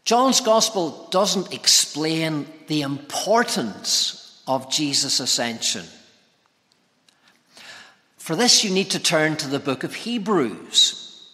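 A man preaching a sermon in deliberate, measured phrases broken by pauses. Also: fairly high pitch, sing-song, bright, forceful tone. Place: large church interior, preaching to congregation. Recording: long reverberant church hall echo.

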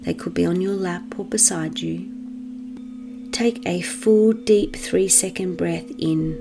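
Low-voiced woman guiding meditation, slightly creaky. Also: steady tone, music in the background. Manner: gentle, measured, with long pauses. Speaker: guided meditation host. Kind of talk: guided meditation for children.

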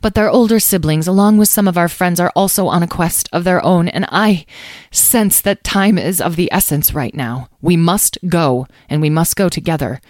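A woman's voice: fairly low pitch, moderate pace, a little mellow, no echo, studio quality, lightly acted. A woman voicing a protective mother dragon leader in a storybook, earnest and commanding. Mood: stern resolve.

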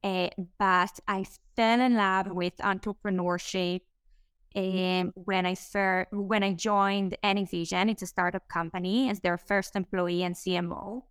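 A woman's voice: mid-range voice, steady tone, clean tone, no room sound. A woman from Israel with an Israeli accent, answering interview questions as a startup co-founder, with frequent "um" fillers. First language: Hebrew. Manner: fluent but halting, many "um" fillers.

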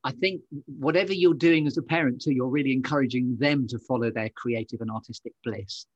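A man's voice: medium pitch, a little fast, breathy edge, no echo, lo-fi sound. A middle-aged man, hosting a podcast interview in an effusive, affirming conversational manner. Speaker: podcast host.

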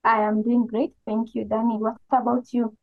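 A woman's voice: on the high side, level tone, moderate pace, dry recording. A woman with a Kenyan accent, a guest answering interview questions thoughtfully, with frequent "um". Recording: tinny phone-like call line.

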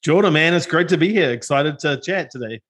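A middle-aged man from New Zealand with a New Zealand accent, telling his story as a guest in a casual interview, relaxed and self-deprecating. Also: on the high side, a little fast. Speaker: guest, tech company co-founder.

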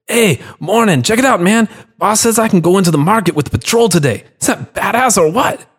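A man voicing an excitable young male watchman who talks in eager slang. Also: mid-range voice, slow pace. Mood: excited.

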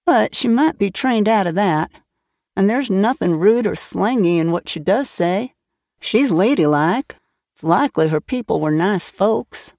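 A woman voicing a stern, practical middle-aged spinster who talks in a brisk, plain, matter-of-fact way. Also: medium pitch, lively intonation, darkish tone, no echo, clean studio sound. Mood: musing.

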